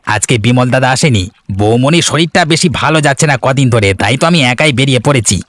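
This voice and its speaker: A man's voice: medium pitch, quick pace, a bit bright, a little hiss or hum, close to an everyday voice. A man voicing a friendly, generous village fisherman in a Bengali moral-tale cartoon, with a hearty, familiar, folksy delivery. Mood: chatty.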